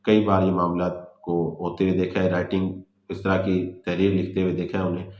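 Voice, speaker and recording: A man with a low voice and a Pakistani accent delivers an instructional lecture. His pitch stays fairly even as he explains and restates each point, switching into English for legal terms. The recording has a clear room echo. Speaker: law lecturer. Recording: echoey room sound.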